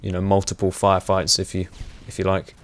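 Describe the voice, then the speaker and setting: A young man giving casual, rambling commentary over gameplay, with many fillers and frequent laughs, his voice getting hoarse. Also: deep voice, completely flat delivery, fairly quick. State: voice going hoarse. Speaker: gaming commentator.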